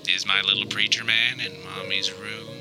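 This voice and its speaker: A man's voice: on the low side, flat delivery, moderate pace, over music, lightly acted. A man voicing a mother calling for her little boy in a coaxing, sing-song way, in an audio drama. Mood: coaxing, suspicious.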